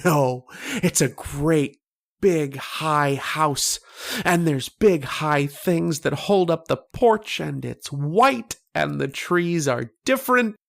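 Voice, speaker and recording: A man playing a dreamy, insistent young boy in an old-time radio drama, speaking in a halting, earnest, childlike way. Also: fairly high pitch, animated, creaky, a bit bright, heavily acted.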